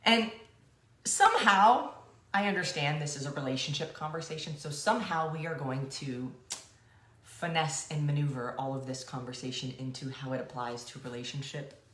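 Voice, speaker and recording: A woman with a low voice co-hosts a casual talk show in an echoey room. She rambles playfully, wanders off on tangents and pokes fun at herself. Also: moderate pace, fairly bright tone, a little hiss or hum. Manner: playful, self-deprecating, digressive. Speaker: co-host of a coaching show.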